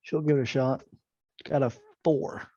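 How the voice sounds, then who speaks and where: A man with a slightly rough, husky voice talks casually as a game player. His pitch is average, and the sound is dull and lo-fi. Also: steady tone, a little slow, warm tone, dry recording. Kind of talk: casual group game chat. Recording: dull, dry lo-fi voice capture.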